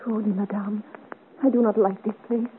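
An actress playing a timid, gentle widowed companion to a noblewoman, hesitant and meek, in a 1950s radio serial. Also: medium pitch, little pitch movement, normal speed, close to an everyday voice. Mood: fearful. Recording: hissy, muffled old radio recording.

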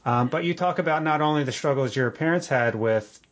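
A man interviewing an author, setting up his questions in a measured, appreciative way with some halting restarts. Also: on the high side, muffled recording. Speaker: podcast interviewer.

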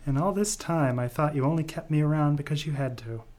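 A man's voice: on the high side, brisk, darkish tone, natural voice. A man playing a mild, hapless sidekick in a comic radio play, naive and plaintive.

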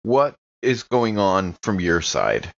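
A man's voice: sits low, sing-song, slow, gravelly. A man chatting casually in character as a player in a tabletop role-playing game. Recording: slightly dull, band-limited audio.

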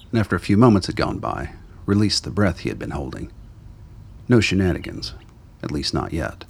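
A man with a gravelly, husky voice narrating a scripted radio drama. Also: deep voice.

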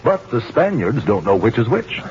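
An excitable, pompous duke, played by a man in an old muffled radio comedy. His theatrical speech leaps around in pitch, haughty and scheming, with a lovestruck streak. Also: normal speed, close to an everyday voice. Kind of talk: 1940s radio comedy acting. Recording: muffled, slightly noisy 1940s radio broadcast.

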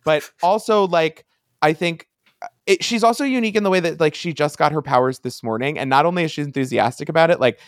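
A man's voice: on the high side, fast talker, no echo, studio quality. A man co-hosting a podcast, talking casually with an enthusiastic, rambling manner that keeps interrupting itself. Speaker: podcast host. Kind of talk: casual fan discussion.

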